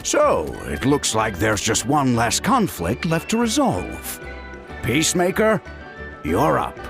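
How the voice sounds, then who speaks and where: An actor playing a caring middle-aged uncle who gently scolds his niece in a video game. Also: medium pitch, takes it slowly, exaggerated voice. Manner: warm-hearted scolding, expressive. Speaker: game character. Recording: game audio with soft music.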